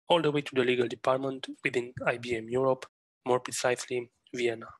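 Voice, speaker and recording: Host: male, mid-pitched, dry close-mic read, intro then questions. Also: a bit bright, slightly rough, dry recording, rough recording. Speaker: podcast host.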